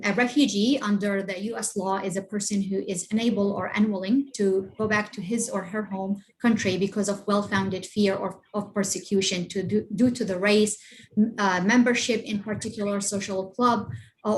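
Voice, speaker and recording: A woman's voice: medium pitch, steady tone, a little hiss or hum, rough recording. Woman from Iraq giving an online talk. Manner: halting, many uh and um fillers. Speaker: refugee organizer presenting. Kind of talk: online presentation with shared slides. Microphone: video call.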